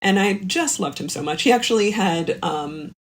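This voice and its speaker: A woman's voice: medium pitch, expressive, normal speed. A woman telling stories as a podcast guest in casual chat, chatty and wry with a self-deprecating streak. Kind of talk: casual chat, animated storytelling.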